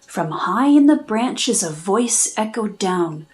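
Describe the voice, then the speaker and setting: A woman reading a children's storybook aloud, narrating expressively in measured, rhythmic rhyme. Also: mid-range voice, animated, darkish tone, poor audio. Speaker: children's book reader and host.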